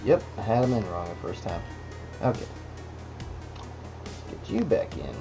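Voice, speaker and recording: A man's voice: fairly low pitch, normal speed, low-quality recording. A man casually walking through a model kit build at his workbench, talking to the parts as he goes. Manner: relaxed, talks to the parts as he works. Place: indoor workbench. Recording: music bed under voice. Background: background music.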